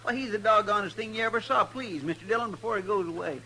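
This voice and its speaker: An actor playing an excitable, naive and deferential marshal's sidekick with an in an old radio drama. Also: male voice, high voice, fast, full character voice. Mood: excited, wondering. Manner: eager, folksy. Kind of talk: old-time radio western acting. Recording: noisy, humming vintage radio recording.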